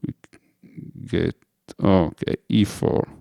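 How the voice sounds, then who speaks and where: A man's voice: sits low, fairly even intonation, slow pace, vocal fry, warm tone, no echo, clean studio sound. A man with an Italian accent explaining music production in a hesitant way, stopping often with fillers as he searches for English words. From Italy. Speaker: music tutorial host. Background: electronic music playing.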